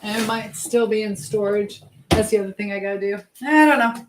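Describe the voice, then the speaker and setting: A woman hosts a casual live stream in a voice of average pitch. She talks loosely to her viewers and reads out their comments. Her delivery rambles, often trailing off before a thought is finished. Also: a little fast, very noisy.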